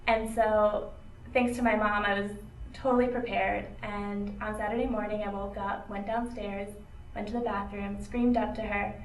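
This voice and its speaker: A woman slowly and reflectively telling a personal memory. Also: mid-range voice, flat delivery, roomy sound, far from the mic, a little hiss or hum, rough recording. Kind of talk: personal interview recollection.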